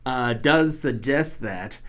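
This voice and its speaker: Podcast cohost guy, middle pitch, lots of um and you know, says yeah yeah a lot. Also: slow pace. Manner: hesitant, many fillers and agreeing interjections. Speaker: podcast co-host. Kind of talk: casual chat about a TV episode.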